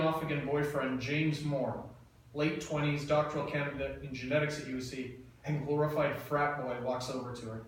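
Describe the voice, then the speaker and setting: Man plainly reading stage directions. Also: a little high. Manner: plain, matter-of-fact. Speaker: narrator. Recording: echoey room, mic far off, faint noise.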